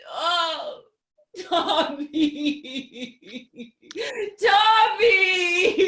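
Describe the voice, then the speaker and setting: A very high, slow female voice as an actress plays a grief-stricken young widow. She laments theatrically and at length, self-pitying yet imperious, as in a stage comedy reading. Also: sharp tone, full character voice. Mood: weeping nostalgia.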